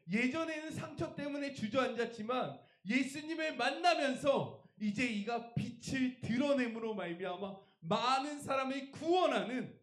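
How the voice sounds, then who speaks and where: A man's voice: very high-pitched, reverberant. A man preaching a revival sermon as a pastor, emphatic and putting rhetorical questions to his congregation. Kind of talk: Korean church revival sermon.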